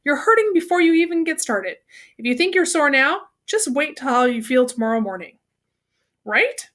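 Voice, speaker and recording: A woman's voice: high voice, very animated, normal speed, a bit bright, pitched above the performer's own voice. A woman voicing a cocky, streetwise teenage boy in a storybook, knowing and pushy. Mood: teasing, insistent.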